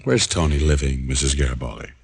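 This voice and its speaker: An actor playing a wisecracking, tough private detective with an American accent in old radio style, sardonic and hard-boiled. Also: male voice, very deep voice, fast talker, vocal fry, husky, full character voice. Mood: persuasive. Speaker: lead detective and narrator. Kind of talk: 1940s-50s radio detective acting.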